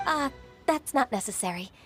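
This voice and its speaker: A composed, courteous young-sounding mentor from a video game. Her voice sits fairly high, gentle and measured, over faint game music. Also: animated. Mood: kindly. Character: Ganyu, gentle, composed, polite female mentor and secretary.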